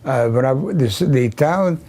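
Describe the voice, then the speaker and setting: An older man from the Carpathian region, Yiddish his first language, recalling his childhood slowly with many pauses and restarts in an oral history interview. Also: medium pitch, mellow. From Carpathian region of Czechoslovakia. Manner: slow, halting, many ums and restarts.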